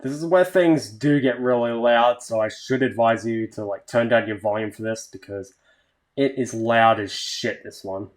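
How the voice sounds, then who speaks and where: A man's voice: medium pitch, moderate pace. A man doing a casual video reaction, reading captions aloud and muttering sweary asides. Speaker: YouTube reaction host.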